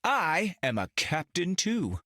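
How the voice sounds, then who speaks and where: An actor playing a cocky, boyish space pilot in a game, casual and stammering. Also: male voice, sits high, huge pitch swings, takes it slowly, sharp tone, no echo, clean studio sound, heavily acted. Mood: chatty, breezy. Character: cocky, goofy young male space-pilot adventurer.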